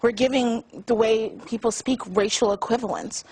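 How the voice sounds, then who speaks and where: Female panel guest, husky mid voice, firm and assertive. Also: on the high side, fairly even intonation, moderate pace, darkish tone, poor audio. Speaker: talk show panel guest. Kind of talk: studio panel discussion.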